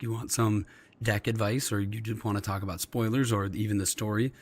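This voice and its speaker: A man hosting a casual livestream, his voice slightly scratchy and subdued as he recovers from losing it, hesitant and often clearing his throat. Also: fairly low pitch, darkish tone. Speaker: streamer, host. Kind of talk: casual livestream chat with viewers and fellow players. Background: music.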